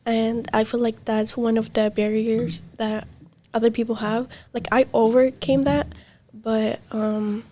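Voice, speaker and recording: Brief: young woman, Arabic/Kurdish first language, flat mellow delivery, hesitant, faint room noise. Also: fairly high pitch. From Middle East. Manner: halting, many fillers and soft trailing words. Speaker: interviewee. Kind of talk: casual conversation.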